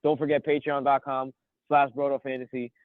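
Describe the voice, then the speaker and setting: A man in his thirties with a cold, chatting as a podcast co-host and rambling excitedly through his stories. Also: mid-range voice, brisk, dry recording. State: sniffly from a cold. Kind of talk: casual chat. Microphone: phone. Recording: tinny phone line.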